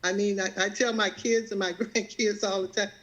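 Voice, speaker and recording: A woman in her seventies with a Southern American accent, sharing a personal testimony in a halting way, often repeating words and laughing easily. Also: medium pitch, steady tone, a bit bright, dull audio. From Southern United States. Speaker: guest.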